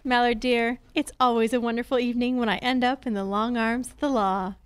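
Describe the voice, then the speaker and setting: A woman's voice: a little high, little pitch movement, fairly quick, noisy background, natural voice. A woman playing a confident, wry female private detective in an old-time radio drama, reading from a script on stage. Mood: playful, flirty. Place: on a stage, live performance. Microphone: handheld-style microphone on a stand.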